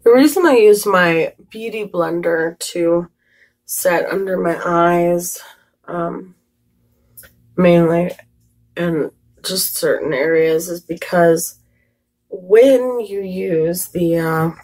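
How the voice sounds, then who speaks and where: A woman's voice: fairly low pitch, darkish tone. Scratchy-voiced woman chatting through makeup. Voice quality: scratchy, raspy voice. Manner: chatty, rambling asides with many fillers. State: slightly hoarse from allergies. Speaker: makeup vlogger. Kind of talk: casual makeup tutorial chat.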